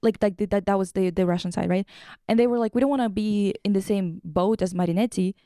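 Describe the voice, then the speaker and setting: A woman's voice: medium pitch, fast talker, mellow, dry recording, studio quality. A woman hosting a podcast in casual chat, slangy, sweary and irreverent as she recaps and reads things aloud. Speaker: podcast host.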